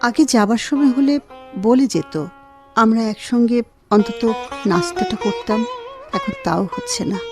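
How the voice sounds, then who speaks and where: A woman's voice: on the high side, huge pitch swings, moderate pace, long pauses. A woman acting a lonely, ailing wife in an audio drama. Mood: forlorn, resigned. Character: lonely, ailing, neglected wife, quietly despairing. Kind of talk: Bengali radio drama acting with monologue. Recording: guitar music behind the voice.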